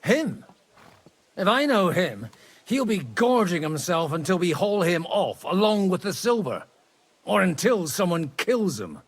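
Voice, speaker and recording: Husky, flustered grumbler. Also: male voice, high voice, sing-song, unhurried, full character voice. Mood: scornful. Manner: grumbling, earthy. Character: coarse, flustered man posing as a dignitary, grumpy. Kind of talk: video game voice acting.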